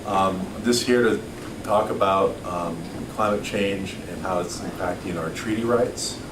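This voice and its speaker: Deep-voiced man in an echoey hall. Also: measured pace. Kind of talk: public forum testimony. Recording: echoey distant hall recording with noise.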